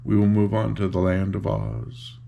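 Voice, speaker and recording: An older man reading a children's book aloud in an unhurried, informal, grandfatherly way, with a gravelly voice and casual asides. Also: lowish voice, little pitch movement, dark tone, a little hiss or hum. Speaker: storybook reader and host.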